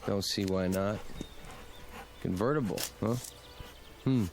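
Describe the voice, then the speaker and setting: An actor playing a folksy, guarded, laconic small-town gas station attendant in a radio drama. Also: male voice, on the low side, takes it slowly, darkish tone, a little hiss or hum.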